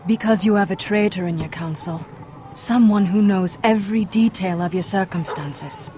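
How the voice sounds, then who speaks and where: A woman voice acting a guarded, earnest young noblewoman in a fantasy game drama, speaking in grave, measured, formal phrases. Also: medium pitch, warm tone, a little hiss or hum, lightly acted. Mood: urgent, grave.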